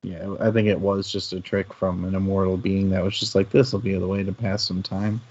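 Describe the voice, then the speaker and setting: A man's voice: low-pitched, flat delivery, fairly quick, a little mellow. A man co-hosting a casual book discussion, talking in a hesitant, rambling way that often doubles back on itself. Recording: muffled, band-limited online audio.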